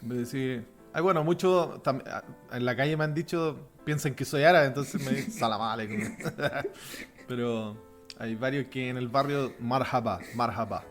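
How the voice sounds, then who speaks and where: A man with a softened Chilean accent hosting a religious podcast, chatting informally and offering blessings to listeners. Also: mid-range voice, normal speed, faint music behind. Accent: softened Chilean Spanish. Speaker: podcast host. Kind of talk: casual religious podcast chat.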